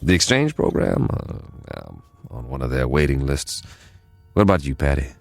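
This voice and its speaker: An actor playing a world-weary, wry government codebreaker in a radio drama, with gravelly, deliberate delivery. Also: male voice, extremely low pitch, wildly swooping pitch, dry recording, soft background music, exaggerated voice. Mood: casual, reassuring. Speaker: narrator and lead actor. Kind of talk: radio drama acting and first-person narration.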